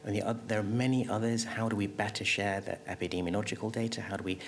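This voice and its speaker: A middle-aged man speaking as an expert panelist, answering off the cuff at a table microphone with halting restarts and emphatic repetition. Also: on the low side, steady tone, slight background noise. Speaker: panelist, health agency official. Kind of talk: panel discussion answer, spontaneous expert talk. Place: conference auditorium panel table.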